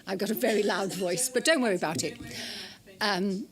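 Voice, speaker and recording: A woman's voice: medium pitch, moderate pace. An older woman from England giving an academic lecture in a lecture room, forthright and blunt, with frequent ums and restarts. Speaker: guest lecturer. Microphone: hand mic.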